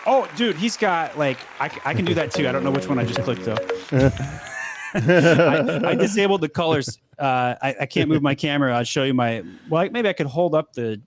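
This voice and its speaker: A man in his thirties hosting a casual live Q&A from a home studio, upbeat and quick, often repeating words as he talks. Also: fairly high pitch, expressive, crowd noise, background noise. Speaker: host. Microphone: studio mic on boom arm.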